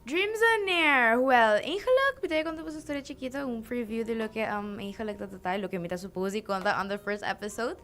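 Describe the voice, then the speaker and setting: Teen girl from aruba on a radio interview, kinda high voice, hops between languages, eager. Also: expressive, moderate pace, hardly any pauses, fairly bright tone. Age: teens. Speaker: youth radio show host, guest.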